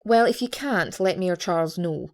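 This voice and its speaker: A woman's voice: lowish voice, animated, moderate pace, darkish tone. An actress playing a protective, outspoken woman doctor with a blunt manner in an audio drama. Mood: kindly concern.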